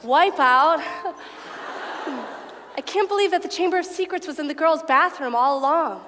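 A woman as a high-voiced, self-assured teenage witch. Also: moderate pace, a bit bright. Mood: amazed. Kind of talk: comic musical stage reading. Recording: reverberant theatre hall, music behind.

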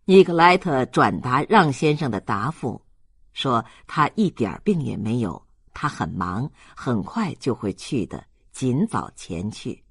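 Male narrator for a literary audiobook, husky tone, close dry sound, expressive scripted reading. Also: mid-range voice, a little slow, no echo.

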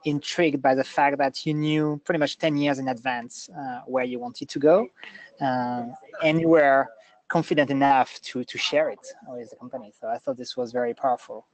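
A man with an ordinary mid-range pitch speaks up on a conference call. He talks in a measured way and pauses on small fillers between his thoughts. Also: fairly even intonation, rough recording. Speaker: product executive, caller.

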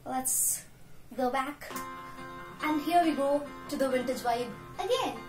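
Young woman, Indian English accent, chatty vlogger, self-deprecating, high voice, echoey home room. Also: slow. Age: young adult. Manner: chatty and self-deprecating, with frequent "so yes" fillers. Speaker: YouTube reaction vlogger. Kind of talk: casual chat to camera. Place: small room at home, indoors. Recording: roomy home room echo, faint music.

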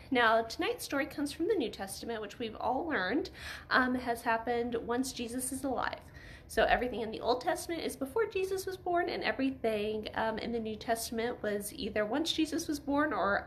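A woman's voice: fairly high pitch, slight background noise. A woman, reading and simply explaining a story for children, often asking them questions. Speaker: Bible story reader for children. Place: living room by a fireplace.

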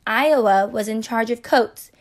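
A woman reading a children's picture book aloud as storyteller, in a playful, expressive, deliberate way. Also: on the high side. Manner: playful, deliberate, acting out asides.

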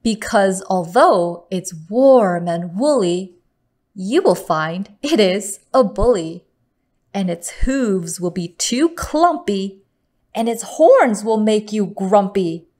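A woman storyteller reading a picture book aloud to children in a deliberate, expressive manner. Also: medium pitch.

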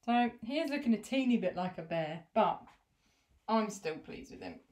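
A woman's voice: medium pitch, expressive, moderate pace. Young woman teaching children to cook. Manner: gentle, encouraging, step-by-step explaining. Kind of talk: cooking demonstration for children. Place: home kitchen, indoors.

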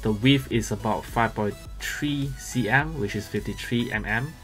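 A man gives casual, halting unboxing commentary as a gadget reviewer. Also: mid-range voice, a bit bright. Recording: music playing behind the voice.

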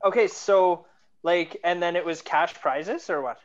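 A man in his thirties from Canada co-hosts a podcast over a video call, talking with eager excitement and casual swearing. His voice sits a bit high. The call audio sounds dull and lo-fi. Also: unhurried. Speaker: podcast co-host. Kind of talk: casual chat. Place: home room with bookshelves, video call. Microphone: headphones, video call.

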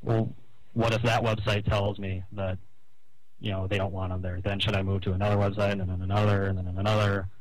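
A man talking on a phone call, airing grievances in a halting, explanatory way. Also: fairly low pitch, flat delivery, no echo. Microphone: telephone call.

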